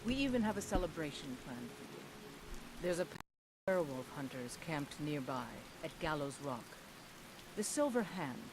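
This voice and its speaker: A low-voiced fierce warrior woman. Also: very animated, normal speed. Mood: grave, resolute. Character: fierce, proud young female Nord warrior werewolf. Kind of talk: fantasy video game dialogue. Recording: game audio with rain and wind noise.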